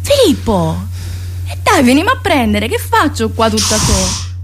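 An actress playing a spirited, defiant young woman in a radio drama, emotional and expressive, with a Sicilian accent. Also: on the high side, moderate pace, a little hiss or hum. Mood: calling, teasing. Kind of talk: theatrical radio drama acting.

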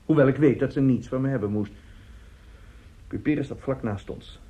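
An actor in a radio drama playing a middle-aged, self-justifying seducer and family friend, speaking haltingly and emphatically. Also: male voice, medium pitch, natural voice. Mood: uneasy confession. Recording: dull, muffled radio play recording.

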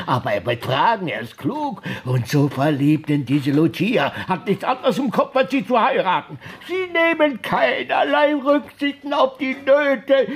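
An actor playing a timid, evasive, grumbling old village priest in a radio play, hesitant and whining. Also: male voice, extremely high pitch, breathy edge, full character voice. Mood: frightened, fretful. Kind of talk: German radio play acting.

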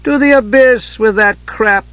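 Man voicing an imperious, high-pitched warrior mother. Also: moderate pace, fairly bright tone, dry recording, lo-fi sound. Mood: scornful. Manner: sneering, commanding, emphatic. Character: cold, imperious warrior mother, scornful and domineering ghostly knight.

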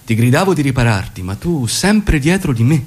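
An actor playing a charismatic, affectionate revolutionary commander with an expressive, gallant way of talking in a stage drama. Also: male voice, medium pitch, normal speed. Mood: tender.

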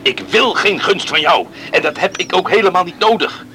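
Proud, forceful, aggrieved man. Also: sits high, lively intonation, normal speed. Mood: proud, bitter. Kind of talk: Dutch radio play acting. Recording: old radio recording with faint noise.

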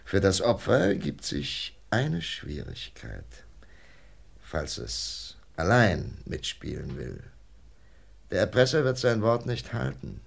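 An actor playing a methodical, persuasive FBI investigator in a German radio crime drama, measured, lecturing and emphatic. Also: male voice, on the low side, wildly swooping pitch, gravelly, breathy edge, exaggerated voice.

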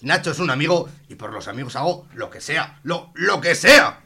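A man's voice: a little high, expressive, normal speed, very noisy. A man playing a bumbling, devoted lover and loyal friend in a radio soap, hesitant and stammering. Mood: reassuring, flustered. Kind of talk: amateur radio soap acting.

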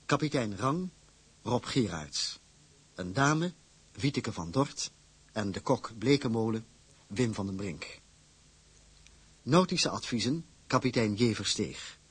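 A man reading closing credits as a radio announcer, in a measured, formal, scripted style. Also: fairly low pitch, animated, slightly rough.

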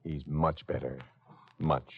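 Very deep-voiced doctor, muffled radio. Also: male voice, little pitch movement, takes it slowly, vocal fry, husky, full character voice. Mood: gentle, awed. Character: grave, gentle hospital doctor. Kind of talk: old-time radio drama acting. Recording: muffled old radio recording.

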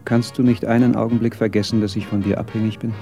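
A kept husband and failed director with a low voice. He needles in a sardonic, mock-affectionate way, in a radio play with music behind him. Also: little pitch movement, quick pace, gravelly. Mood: needling. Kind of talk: radio drama acting.

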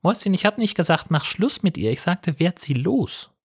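Man putting on a high-pitched, pushy zombie sister. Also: big pitch swings, normal speed, breathy edge, exaggerated voice. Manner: blunt, slangy, insistent. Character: pushy, flippant undead young woman, zombie sister.

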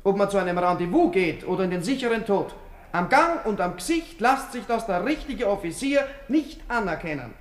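A high voice in a roomy echo: a pompous Austrian officer. He lectures with affected military self-importance in a radio play. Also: sing-song, fairly quick. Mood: smug, emphatic. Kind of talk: radio drama dialogue.

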